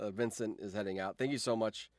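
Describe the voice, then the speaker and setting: A man's voice: lowish voice, steady tone, brisk, a crowd in the background. A man hosting a livestream in a casual chat, rambling along and swearing freely. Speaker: livestream host.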